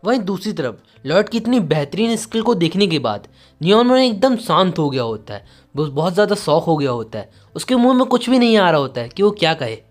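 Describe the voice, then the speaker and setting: A man delivers a dramatic scripted story recap. His voice sits a little high and moves with very lively intonation, over soft music. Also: brisk. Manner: animated storytelling, voicing quoted dialogue. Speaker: manga recap narrator. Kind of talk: dramatic scripted story narration in Hindi with some English words.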